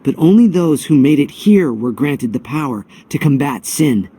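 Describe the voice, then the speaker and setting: A man's voice: fairly high pitch, animated, moderate pace, warm tone, breathy edge. An actor playing an earnest, wistful young male hero, a resolved outcast, with reflective, solemn delivery in a video game cutscene.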